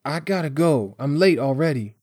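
A man's voice: a little high, lively intonation, moderate pace, a little mellow, breathy edge, dry recording, clean studio sound, pitched above the performer's own voice. A man voicing a nervous, defensive teenage boy in an audiobook, blurting slangy protests. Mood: anxious.

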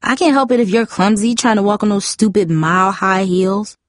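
A woman's voice: medium pitch, normal speed, no room sound, studio quality, lightly acted. A woman voicing a hot-tempered, defensive teenage girl in an audiobook, emphatic and indignant.

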